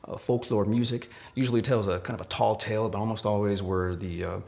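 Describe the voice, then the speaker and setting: A man's voice: on the low side, fairly even intonation, a little fast, gravelly, a little mellow. A man from Arizona, a poet, reading and talking about his own work. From Arizona, United States. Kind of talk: poetry reading and commentary on own poem.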